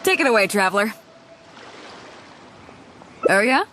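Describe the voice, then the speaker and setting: A bold, teasing sea captain. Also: female voice, mid-range voice, very animated, a little slow, a bit bright, a little hiss or hum. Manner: teasing, self-assured, laughs easily. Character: bold female ship captain, teasing and confident. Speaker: ship captain. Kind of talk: game cutscene voice acting.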